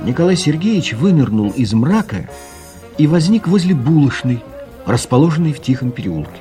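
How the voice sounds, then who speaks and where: A man's voice: on the high side, sing-song, moderate pace, music in the background. A man reading scripted literary narration for an audio play, telling the story expressively.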